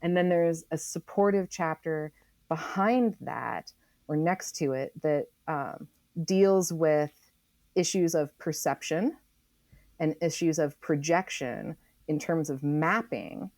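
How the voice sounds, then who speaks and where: Interview guest: American woman, low pitch, emphatic and conversational, thinking aloud, very dry sound. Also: slow pace, a little mellow, no room sound. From Oregon, United States. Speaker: interview guest, author and geologist. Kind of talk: reflective conversational interview explanation.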